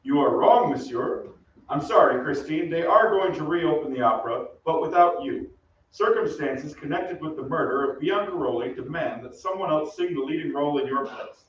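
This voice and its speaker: A man playing a formal, condescending police inspector in an old-time radio drama, speaking in a measured way. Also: a little high, animated, a little hiss or hum, lightly acted. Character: dry, formal police inspector, rival suitor. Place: theatre stage. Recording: very echoey stage, distant, dull.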